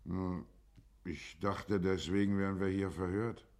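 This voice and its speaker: Deep-voiced small-town witness. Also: male voice, steady tone, unhurried, darkish tone. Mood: measured, deferential. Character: plain-spoken small-town neighbour testifying to a magistrate. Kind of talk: German radio drama acting.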